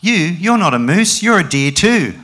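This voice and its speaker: A man's voice: high-pitched, big pitch swings, measured pace, bright, forceful tone, voice pushed up, exaggerated voice. An older man voicing a self-assured, insistent deer from a children's storybook, speaking emphatically.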